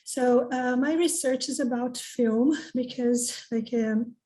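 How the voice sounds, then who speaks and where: Mellow Brazilian woman on a video call. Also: on the high side, steady tone, slow, poor audio. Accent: Brazilian Portuguese. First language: Portuguese. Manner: friendly, chatty, with some fillers and restarts. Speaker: conference presenter, professor. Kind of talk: informal remarks before an academic paper. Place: home or office in North Carolina.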